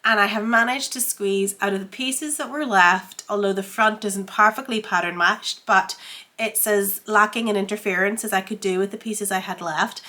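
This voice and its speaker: A woman's voice: medium pitch, bright, forceful tone. A woman with a congested, head-cold voice, chatting casually as the host of a sewing vlog while feeling under the weather. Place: home sewing room.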